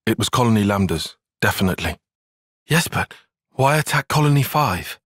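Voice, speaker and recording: A man's voice: fairly low pitch, lively intonation, normal speed, fairly bright tone, dry recording, studio quality. A man with a British accent voicing an earnest, cautious young soldier-strategist in a video game, speaking in a precise, formal, articulate way. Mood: measured, analytical. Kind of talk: video game cutscene voice acting.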